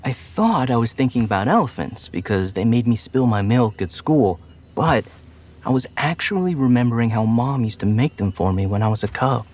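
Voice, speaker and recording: A man as a breathy, earnest young son. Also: mid-range voice, animated, normal speed, natural voice. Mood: earnest, fond. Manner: gentle, hesitant, earnest. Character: sweet, earnest grown son, a young male animal. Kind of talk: motion comic voice acting.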